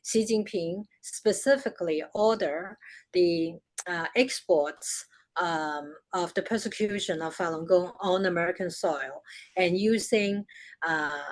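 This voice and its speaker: Older woman, Chinese accent, mid-range voice; earnest guest explaining at a steady pace. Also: mid-range voice. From China. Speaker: guest speaker. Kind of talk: town hall interview.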